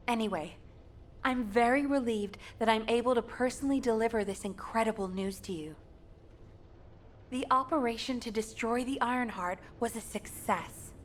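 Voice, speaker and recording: A fairly high-pitched, caring woman operator, played by an actress in a sci-fi game. She briefs earnestly and articulately over a light noisy ambience. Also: natural voice. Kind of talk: scripted video game dialogue.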